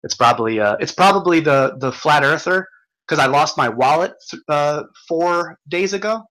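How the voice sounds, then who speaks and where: A man hosting a livestream, ranting in a profane, stumbling, repetitive way while reading comments, his voice going hoarse. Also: on the high side, expressive, normal speed, fairly bright tone, poor audio. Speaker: livestream host. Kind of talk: rambling livestream rant.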